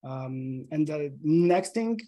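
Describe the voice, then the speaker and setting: A man in his thirties with a Slovenian accent, a company founder chatting as a podcast guest in an upbeat way, with many "um" pauses. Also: on the high side, lively intonation, dark tone. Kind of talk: casual interview chat.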